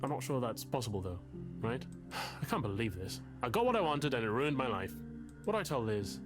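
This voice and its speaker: An actor playing an anxious, bookish, self-pitying man in a game, talking in a wordy, halting, confessional way. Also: mid-range voice, sing-song, over music, natural voice. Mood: anguished, self-pitying. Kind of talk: confessional dramatic game dialogue.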